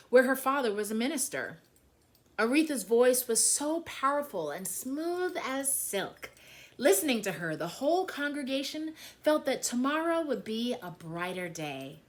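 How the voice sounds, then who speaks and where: A woman reading a children's picture book aloud in an expressive storytelling style, phrasing deliberately and leaning on key words. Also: fairly high pitch. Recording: music behind the voice.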